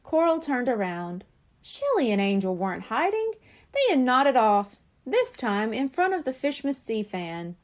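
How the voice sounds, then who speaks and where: Woman reading a kids' book out loud, bright high voice, super expressive. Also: a little slow. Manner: expressive, lively storytelling. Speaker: children's book reader. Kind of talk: storybook read-aloud.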